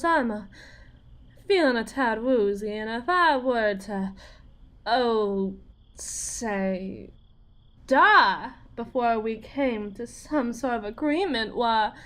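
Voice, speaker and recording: A woman playing a desperate, wounded, wry farm wife in an ASMR drama roleplay, with a folksy, sardonic, halting delivery. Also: a little high. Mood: weak, wry.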